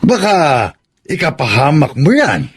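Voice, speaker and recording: Gentle, higher-voiced old grandfather. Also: big pitch swings, a little slow, slightly rough, full character voice. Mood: gentle admonishing. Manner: soothing, admonishing. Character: gentle old grandfather, patient peacemaker of the family. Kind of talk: Tagalog radio drama acting.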